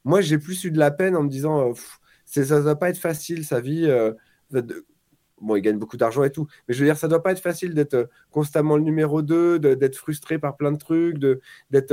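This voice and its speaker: A man in his forties talks as a guest on a relaxed podcast. His voice sits a little high, and he tells stories with energy, jumping into little acted-out quotes. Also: moderate pace. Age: 40s. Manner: animated storyteller, acts out quotes. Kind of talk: casual chat.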